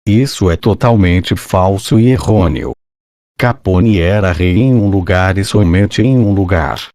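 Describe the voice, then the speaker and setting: A man with a Brazilian accent narrates a scripted documentary piece for an online channel. His voice sits on the low side, and the recording is dry, with little room sound around it. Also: a little mellow, no echo.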